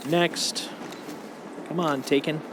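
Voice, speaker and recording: A man's voice: fairly high pitch, flat delivery, slow. A man doing casual live gameplay commentary as a streamer, jokey and given to playful exclamations. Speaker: game streamer. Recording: very noisy, game audio behind.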